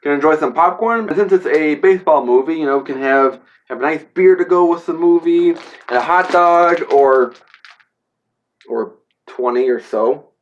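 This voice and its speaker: A man's voice: on the high side, normal speed, lo-fi sound, slight background noise. A man with a head cold, sounding congested, who gives a rambling, casual, classroom-style film review full of fillers and playful asides. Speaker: history teacher reviewing a film.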